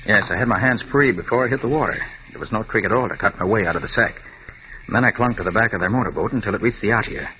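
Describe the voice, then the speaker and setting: An actor playing a clever, confident master detective with an assured, authoritative manner in an old radio drama. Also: male voice, on the low side, very fast, lightly acted. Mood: quietly confident. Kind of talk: 1940s radio crime drama acting. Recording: muffled, faintly noisy old radio recording.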